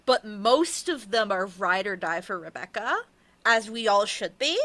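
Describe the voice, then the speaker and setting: A woman's voice: medium pitch, expressive, measured pace, sharp tone, no room sound. A woman being interviewed as an author, chatting casually in an effusive, slangy, joking way. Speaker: interviewed author of an audio drama.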